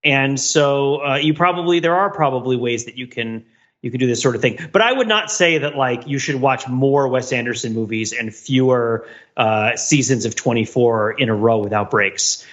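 A man's voice: mid-range voice, moderate pace, fairly bright tone, muffled recording. A man co-hosting a podcast in casual discussion, analytical and wordy with a joking streak. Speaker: podcast co-host.

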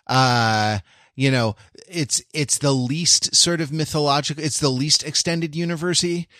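A man co-hosting a podcast, chatting casually in a thoughtful, halting way as he works out his phrasing. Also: fairly high pitch, fairly bright tone, no echo, clean studio sound. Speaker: podcast co-host. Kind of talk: casual film chat.

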